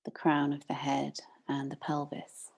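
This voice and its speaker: A woman meditation teacher leading a guided practice, instructing gently and unhurriedly with long pauses. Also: sits low, completely flat delivery, vocal fry, slightly rough.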